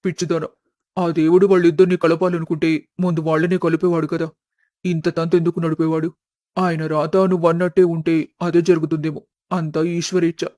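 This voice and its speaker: A man's voice: on the high side, flat delivery, a little fast, a little mellow, no room sound, studio quality, natural voice. A man voicing a devout, resigned middle-aged father in a family story, subdued and philosophical.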